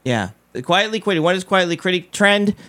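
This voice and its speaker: Male live-show host, slightly high squeaky voice, casual but emphatic commentator, repeats for stress, dry close sound. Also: expressive, a little fast, a bit bright, no room sound.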